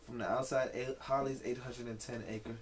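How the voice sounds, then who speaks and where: A man's voice: mid-range voice, little pitch movement, normal speed, slightly rough. A man co-hosting a casual podcast, reading a news story aloud and breaking off to joke and laugh. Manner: reads article aloud, breaks off laughing and joking. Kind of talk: casual comedic chat. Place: home studio livestream.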